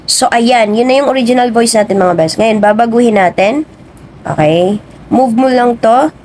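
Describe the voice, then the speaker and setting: Higher-voiced woman giving a tutorial. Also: moderate pace, a little mellow, slight background noise. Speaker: video tutorial host. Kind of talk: casual spoken app tutorial.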